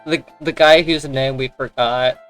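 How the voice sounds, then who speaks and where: A man's voice: medium pitch, steady tone, measured pace, fairly bright tone, faint music behind. A young man in casual, joking chat with a friend as a let's play co-host, giggly and sweary.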